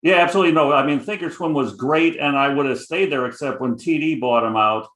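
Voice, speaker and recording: A man's voice: a little high, a little fast, fairly bright tone, roomy sound. A middle-aged man with a Chicago accent, a guest options trader talking casually, rambling with many fillers. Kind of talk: casual interview chat.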